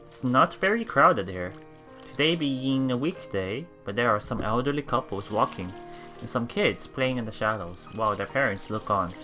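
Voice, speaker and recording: An excitable game streamer who reads story text aloud and reacts casually, often repeating words and phrases. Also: medium pitch. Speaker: let's play streamer.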